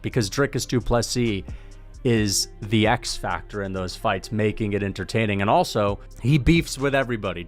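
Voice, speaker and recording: A man giving casual, animated MMA commentary, talking loosely and swearing freely. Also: mid-range voice.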